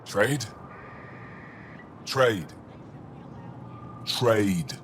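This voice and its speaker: An actor playing a shrewd alien cargo trader in a video game, with blunt, clipped phrasing. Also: male voice, fairly low pitch, lively intonation, very slow, fairly bright tone, slight background noise, heavily acted. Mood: curious, probing. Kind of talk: video game dialogue acting.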